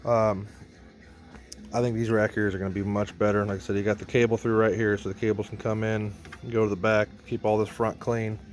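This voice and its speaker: A man's voice: lowish voice, little pitch movement, fairly quick, soft background music. A man narrating a hands-on gear vlog in a casual, informal way, thinking aloud as he works. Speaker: band member showing a PA rack rebuild.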